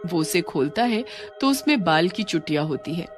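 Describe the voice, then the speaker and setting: A woman's voice: fairly low pitch, expressive, moderate pace, soft background music. A woman reading a scripted horror story as its narrator.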